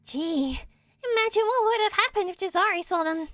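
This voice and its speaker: Tiny high-pitched fairy sidekick. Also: female voice, lively intonation, moderate pace, fairly bright tone, no echo. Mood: chirpy, curious. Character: Paimon, small high-voiced excitable female fairy companion. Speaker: amateur voice actor. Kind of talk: voiced game quest dialogue read.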